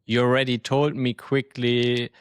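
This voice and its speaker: A man's voice: mid-range voice, level tone, a little slow, clean tone, dry recording, clean studio sound. A man hosting an interview podcast, asking long questions and thinking aloud as he goes. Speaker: podcast host.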